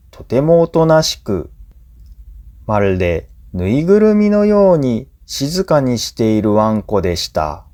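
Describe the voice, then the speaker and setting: A man's voice: medium pitch, mellow. A man reads a scripted story for language learners as a teacher, enunciating carefully in short, separated phrases. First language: Japanese.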